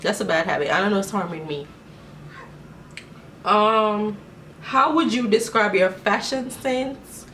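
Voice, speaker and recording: A woman's voice: mid-range voice, measured pace, long pauses, fairly bright tone, far from the mic, low-quality recording. A young Jamaican woman chats through a casual question-and-answer vlog, rambling with plenty of fillers. She sits a little back from the camera mic. A faint hiss lies under her voice. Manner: chatty, rambling, many fillers. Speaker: vlogger.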